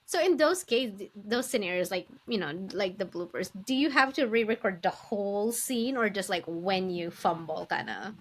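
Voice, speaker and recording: A woman's voice: mid-range voice, expressive, normal speed, hardly any pauses. A woman hosting a casual podcast interview, putting her questions tentatively and piece by piece.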